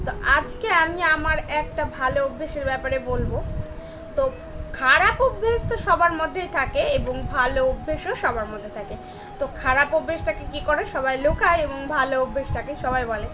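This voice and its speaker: A young woman speaks earnestly to viewers in a personal devotional talk, repeating phrases for emphasis. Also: sits high. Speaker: devotional vlogger. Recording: clearly noisy, ambient background sound.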